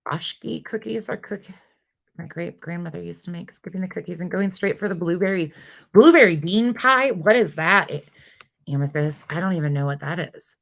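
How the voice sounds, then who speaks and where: A woman's voice: fairly low pitch, moderate pace, gravelly, fairly bright tone. A woman chatting casually as a livestream host, informally hopping between replies to viewers. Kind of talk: casual live chat, reading and answering viewer comments.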